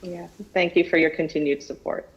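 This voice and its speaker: A woman's voice: lowish voice, steady tone, a little fast, breathy edge, no echo. A woman giving earnest, formal public remarks as a doctor who leads an organisation. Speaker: doctor leading a biotech incubator. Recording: dull, dry lo-fi audio.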